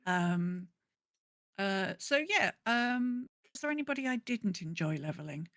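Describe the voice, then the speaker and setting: A woman with a bright, forward voice talks casually as a podcast co-host. She rambles in an animated way, acting out her thoughts as she goes, and the recording is dry and close. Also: mid-range voice, a little slow, dry recording. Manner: animated, rambling storyteller who acts out her own thoughts. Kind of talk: casual chat about gaming.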